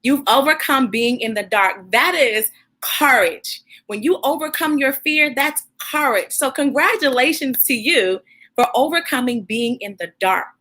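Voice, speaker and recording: A woman hosting a children's storybook read-aloud, expressive and encouraging, speaking directly to young listeners like a teacher. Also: high voice, measured pace.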